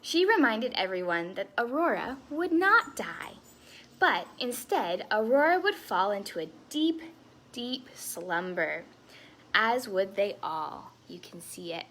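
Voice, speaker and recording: A woman reading a story aloud to children as a storytime host, expressive and breaking into chatty asides. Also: medium pitch, takes it slowly. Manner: animated, with chatty asides to young listeners.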